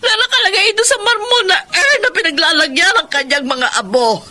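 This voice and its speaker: A woman's voice: extremely high pitch, fairly quick, bright, forceful tone, full character voice. A woman with a Filipino accent acting an anguished, grieving middle-aged mother in an emotional, tearful radio drama style. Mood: anguished, accusing. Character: grieving mother of a dead eldest son, anguished.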